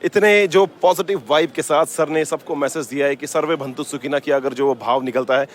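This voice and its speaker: A man's voice: on the high side, fairly even intonation, a little fast, a little hiss or hum. A male radio host covers an event on location. He switches between Hindi and English phrases, gushing and often searching for words as he presents. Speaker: radio station host and interviewer at an expo. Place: busy expo grounds.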